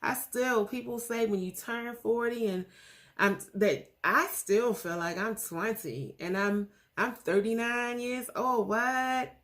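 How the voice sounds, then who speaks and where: A woman in her forties talking earnestly about her faith in a casual vlog, pausing often with fillers. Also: on the high side, a bit bright. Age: 40s. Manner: earnest, halting with many fillers. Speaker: vlogger.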